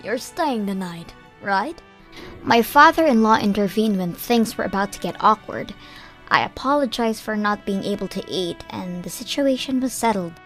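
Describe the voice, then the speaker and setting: Young woman, first-person manga narration, earnest, steady, faint music behind. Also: mid-range voice. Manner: measured storytelling, plain and earnest.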